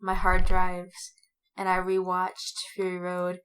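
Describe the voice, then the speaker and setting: A woman's voice: lowish voice, monotone, slow pace, a bit bright, rough recording. A woman co-hosting a podcast, talking in an informal, enthusiastic and unscripted way. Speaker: podcast co-host. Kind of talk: casual chat about stories and media.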